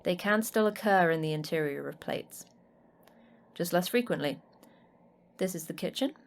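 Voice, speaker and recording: An actress playing a terse, sardonic manager of a secret polar facility in an audio drama, with a dry, curt delivery. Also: female voice, on the low side, moderate pace, close to an everyday voice. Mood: brusque, dry. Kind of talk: audio fiction acting.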